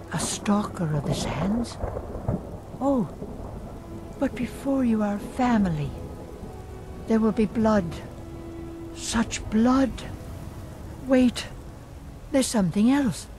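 Old fortune teller woman, fantasy game, slow n halting n eerie, music behind. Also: on the high side, lively intonation. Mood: entranced, ominous. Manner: halting, portentous, drawn-out phrasing. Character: weary old fortune-telling seer woman, folksy, eerie in trance. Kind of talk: fantasy video game acting.

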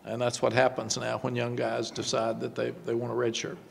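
An older man, a head football coach, answering postgame press questions at a desk microphone, halting and repeating words before quick emphatic runs. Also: mid-range voice, monotone, gravelly, big-room sound, a little hiss or hum. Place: press room before a sponsor backdrop. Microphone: desk microphone on stand.

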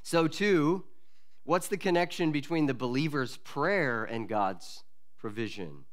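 A man in his forties, a pastor, preaching an expository sermon from a lectern mic in a church, earnest and measured with pauses and restarts. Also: fairly high pitch, lively intonation. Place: church sanctuary at a wooden lectern. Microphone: lectern microphone.

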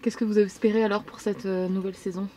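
A woman's voice: mid-range voice, level tone, fast talker, dark tone. A woman reporter asking questions in a conversational field interview.

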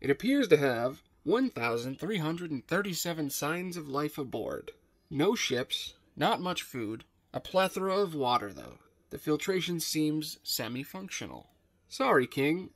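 Ship AI for sci-fi radio play male actor: formal, deferential, higher register, very dry recording. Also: sing-song, measured pace, a bit bright, dry recording, exaggerated voice. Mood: matter-of-fact. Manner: formal, servile, precise. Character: polite ship's AI computer, deferential and apologetic. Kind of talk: audio drama table read.

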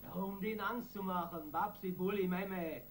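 Radio crime play: defiant small-time gang boss, slangy Berliner, sullen, on the higher side. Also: male voice, normal speed, a little hiss or hum. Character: defiant male street gang boss under police questioning.

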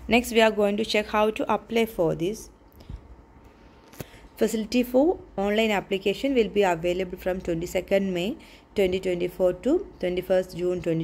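A woman's voice: medium pitch, a little mellow. A woman reading through a recruitment notice point by point, methodical, with small restarts. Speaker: job notification explainer.

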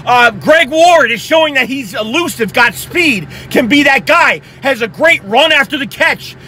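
A young man with a very high, sharp, bright voice, gone hoarse from yelling. He rants fast and profanely, heated and excited, talking close into a phone in his car at night with faint traffic noise outside. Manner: excited, profane, rapid-fire ranting. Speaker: sports fan vlogger. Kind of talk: heated post-game fan rant.

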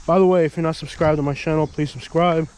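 A man in his thirties vlogging casually outdoors, chatting and thinking out loud with lots of fillers, filmed on a handheld camera. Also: a little high, steady tone, fast talker. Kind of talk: casual walking vlog. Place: outdoors at an empty lot, open air. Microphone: handheld action camera at arm's length.

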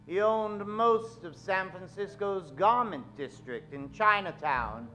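A man in middle age narrating an old-time radio drama on stage, suave, with dramatic pauses. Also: high voice, bright, forceful tone. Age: middle-aged. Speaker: host and announcer of a radio show. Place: stage of a small theatre. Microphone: vintage-style stand microphone.

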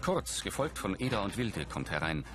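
A man's voice: deep voice, sing-song, moderate pace, over loud music. A man reading scripted audio description of a film in a factual manner. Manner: factual, present-tense delivery. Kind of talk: scripted scene narration.

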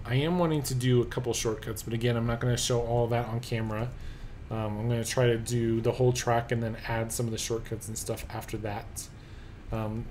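A man narrating gameplay as a let's play host, relaxed and thinking aloud with many pauses and ums. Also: mid-range voice, slight background noise. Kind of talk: casual game commentary. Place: at a computer, voice-over of gameplay.

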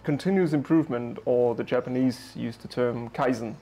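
A young man as a guest in a casual conversation on an outdoor balcony, explaining ideas in a relaxed way. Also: mid-range voice, moderate pace.

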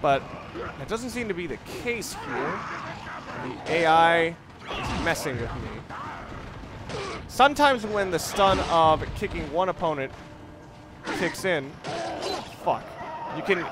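Man, slightly high voice, halting analytical let's play commentary, clear background noise from game audio. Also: animated. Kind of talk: unscripted gameplay commentary. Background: video game sounds and music.

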